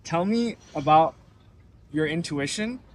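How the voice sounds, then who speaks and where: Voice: mid-range voice, expressive, slow pace. A coach interviewing a client in a casual chat, mostly giving brief affirmations and guiding questions.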